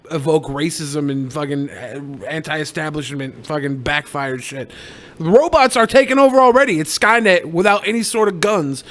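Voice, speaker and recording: A man's voice: on the high side, a bit bright. A sardonic, foul-mouthed man chatting casually and jokingly as a podcast co-host. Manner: sardonic, profane. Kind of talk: casual comedic podcast chat.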